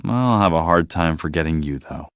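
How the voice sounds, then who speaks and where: Male reader plays gruff storybook octopus, reluctant softie, lowered pitch, understated grumble. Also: animated, normal speed, no echo, studio quality. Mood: gruffly fond.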